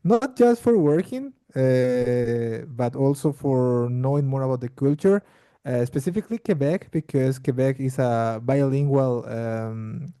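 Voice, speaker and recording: Halting, very dark-voiced man. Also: medium pitch, expressive, clean tone, no room sound, clean studio sound. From Bolivia. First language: Spanish. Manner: halting, repeats words while searching for them. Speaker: language exchange participant. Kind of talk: casual conversation practice.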